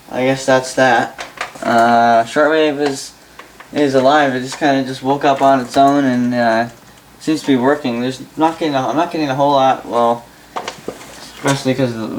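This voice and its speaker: A young adult man with a Canadian accent giving a casual, unscripted hobby demonstration in a halting, rambling way, often repeating words. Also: mid-range voice, steady tone, rough recording. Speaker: vintage radio hobbyist and host. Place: indoor workbench in a room. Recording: home video with faint background noise.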